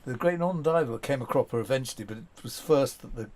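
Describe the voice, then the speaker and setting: A man's voice: medium pitch, quick pace, darkish tone. An older man reminiscing in an interview, telling rambling, anecdotal stories from his past. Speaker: documentary subject.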